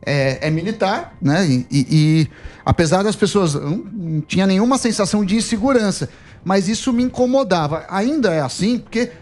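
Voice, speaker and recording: A man with a Brazilian accent chatting casually on a panel, in a halting way that repeats words. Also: high voice, animated, a little mellow. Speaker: talk show panelist.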